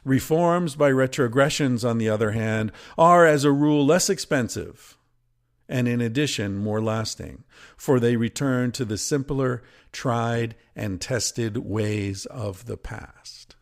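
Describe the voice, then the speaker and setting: A man reading from a book aloud in a deliberate, measured way, with informal commentary of his own. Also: medium pitch. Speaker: podcast host and reader. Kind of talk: reading a book excerpt aloud with casual asides.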